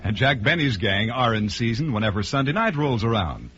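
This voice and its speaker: A man's voice: mid-range voice, big pitch swings, fairly quick, sharp tone, slightly rough. An American man reading scripted credits and promos as a polished, formal mid-century radio announcer. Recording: muffled vintage radio recording, faint music.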